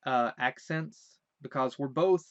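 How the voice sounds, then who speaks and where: A man's voice: mid-range voice, slow pace, no room sound, lo-fi sound. A man from Virginia with a light Southern accent, casually recalling a trip in a rambling, hesitant way with many restarts. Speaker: vlogger. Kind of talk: casual recollection.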